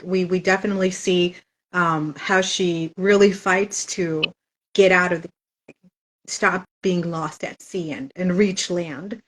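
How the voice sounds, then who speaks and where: A woman's voice: medium pitch, a bit bright, low-quality recording. Woman author, spanish first language, casual interview chat, lots of ums, thoughtful. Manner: thoughtful, frequent "um" fillers.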